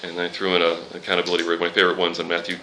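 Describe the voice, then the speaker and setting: Bright-voiced man teaching a Bible class. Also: low voice, monotone, very fast, a little hiss or hum, low-quality recording. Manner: conversational, quotes scripture, jokes with the class.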